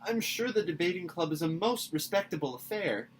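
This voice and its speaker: A man voicing an excitable, dramatic young orphan girl in a storybook reading, gushing and pleading earnestly. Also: high voice, a little fast, slight background noise, voice pushed up.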